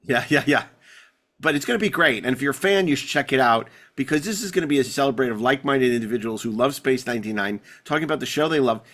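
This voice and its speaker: A man hosting a podcast, chatting casually about sci-fi television in a rambling, excitable way with stammered repeats. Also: medium pitch, quick pace. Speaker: podcast host.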